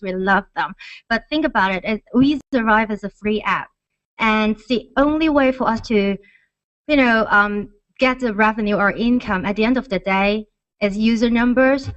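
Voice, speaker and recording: A woman's voice: fairly high pitch, moderate pace, a bit bright, no echo. A woman founder on stage at a tech conference, explaining her business into a close, dry, slightly dull-sounding mic. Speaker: startup founder presenting at a conference. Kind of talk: conference pitch and question answering. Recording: dull, lo-fi event recording.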